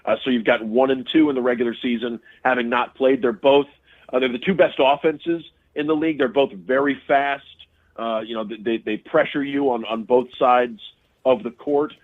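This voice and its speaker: A man's voice: mid-range voice, fairly even intonation, normal speed. A male radio sports broadcaster, a guest on a sports talk show, who breaks down teams and players analytically and restarts and repeats words often. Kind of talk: casual sports talk interview. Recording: tinny phone line.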